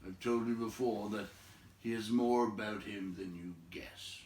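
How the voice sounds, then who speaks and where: A man voicing a brisk, bossy old wizard from a storybook, with an emphatic, scolding and teasing manner. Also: lowish voice, fairly even intonation, darkish tone, roomy sound, far from the mic, a little hiss or hum, natural voice. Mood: brisk, exhorting.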